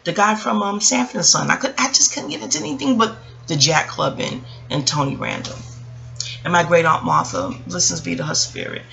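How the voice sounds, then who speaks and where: American woman vlogger with a creaky, bright voice. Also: on the low side, expressive, a little fast. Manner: rambling and digressive, addressing listeners directly. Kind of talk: casual reflective storytelling monologue.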